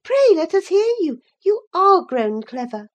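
A high-voiced, spirited young lady played by a woman reader from a classic storybook. She is haughty and scornful, speaking in teasing, exclamatory phrases. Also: measured pace, darkish tone. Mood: mocking. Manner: exclamatory, mocking. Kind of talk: dramatised classic novel reading.

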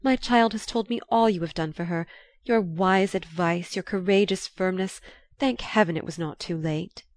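A woman's voice: fairly low pitch, dry recording, lightly acted. Woman acting an effusive, sighing older marchioness, theatrical. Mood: fervently grateful. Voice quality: sighing, breathy delivery. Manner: dramatic, drawn-out, confiding.

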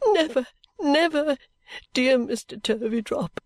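A woman voicing an affectionate, tearful young bride in an audiobook, pleading and halting with emotion. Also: sits high, dry recording, pitched above the performer's own voice, exaggerated voice. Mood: sobbing, devoted. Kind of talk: audiobook character acting.

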